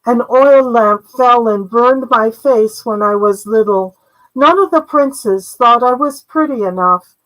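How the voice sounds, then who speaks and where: A woman's voice: a little high, unhurried, fairly bright tone, poor audio. A woman voicing a candid, resigned young princess in a storybook tale, speaking plainly and earnestly.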